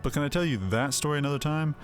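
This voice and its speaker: A man's voice: fairly high pitch, fast talker, soft background music, voice pushed up, natural voice. A man voicing a hearty, chatty retired adventurer and innkeeper in a fantasy game, with folksy old-fashioned phrasing. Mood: jovial, friendly.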